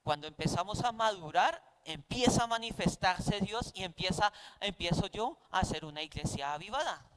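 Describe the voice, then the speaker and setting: High-pitched Colombian man teaching Bible class. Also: lively intonation, normal speed, few pauses, a bit bright, rough recording. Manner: didactic, conversational, poses rhetorical questions.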